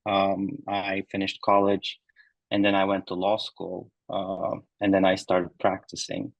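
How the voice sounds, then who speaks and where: A man in his thirties from Turkey, answering interview questions in a relaxed way with many ums and uhs. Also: low-pitched, measured pace, vocal fry, no echo, rough recording. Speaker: founder and guest. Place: remote recording.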